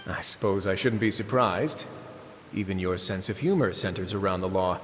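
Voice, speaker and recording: A wry, laid-back warden. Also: male voice, low voice, moderate pace, long reverb tail, a little hiss or hum. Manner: relaxed, wry, bantering. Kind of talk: video game voice acting.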